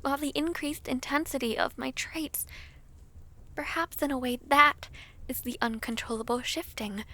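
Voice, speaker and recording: A woman playing a gentle, anxious young half-dragon princess in an audio roleplay, speaking softly and formally. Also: sits high, steady tone, moderate pace, exaggerated voice. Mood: anxious, pondering. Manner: soft, pensive, formal old-fashioned speech. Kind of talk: audio roleplay drama acting.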